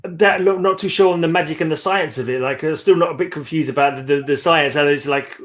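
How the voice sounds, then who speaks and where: A man's voice: on the high side, fast, a bit bright. A man in a casual book discussion, tentative and often rephrasing his thoughts. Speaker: read-along book discussion participant.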